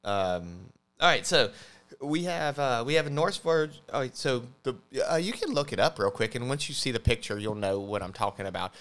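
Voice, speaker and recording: A man co-hosting a podcast, explaining things casually in a halting way, often stammering and correcting himself. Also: medium pitch, expressive, a bit bright. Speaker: podcast host.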